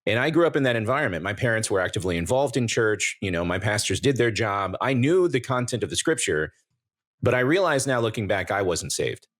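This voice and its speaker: Forties guest from American Samoa, lowish voice, relaxed joking storyteller. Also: male voice, fairly quick. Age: 40s. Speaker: guest, YouTuber. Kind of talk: casual interview chat.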